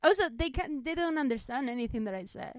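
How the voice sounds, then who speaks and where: Woman, Spanish accent, fairly high clean voice, casual storytelling, giggly self-mocking delivery. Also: animated, fast talker, dry recording, studio quality. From Spain. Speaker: stand-up comedian, interview guest. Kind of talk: casual chat, telling anecdotes.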